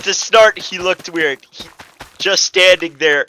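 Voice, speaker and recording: Bright-voiced man stumbling through comic narration. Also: sits high, slow pace. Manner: stumbles and corrects himself. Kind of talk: comedic dramatic reading of fan fiction.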